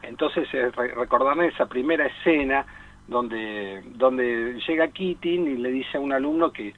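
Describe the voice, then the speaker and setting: A man in a reflective radio discussion, speaking haltingly, repeating himself and often adding tag questions. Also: mid-range voice, narrow, tinny audio. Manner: halting, repetitive, peppered with rhetorical tag questions. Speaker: radio talk co-host discussing psychoanalysis.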